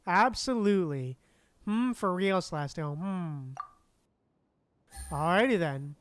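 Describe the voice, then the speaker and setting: A woman's voice: lowish voice, lively intonation, slow, dry recording. A woman streaming a game with casual, musing, sweary commentary, reading game text aloud.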